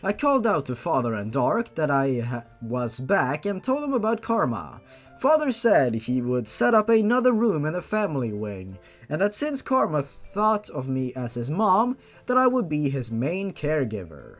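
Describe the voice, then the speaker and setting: A man's voice: fairly high pitch, huge pitch swings, normal speed, soft background music. A man doing a dramatic reading of fan fiction, breaking off for exasperated, sarcastic asides. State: feeling unwell. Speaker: fan fiction reader and host.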